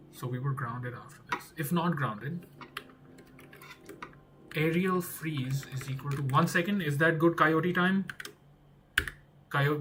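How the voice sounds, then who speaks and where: Young male dev, non-native English, slow mumbling think-aloud, faint hiss behind. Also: a little high. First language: not English. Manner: muttering to himself, trailing off, repeating words. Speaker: game developer. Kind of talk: think-aloud coding vlog.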